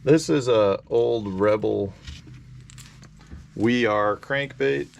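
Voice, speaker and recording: An American man in his forties telling casual, rambling, halting stories as the host of a fishing vlog. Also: mid-range voice. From Indiana, United States. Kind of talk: casual vlog storytelling about fishing gear. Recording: clear background noise.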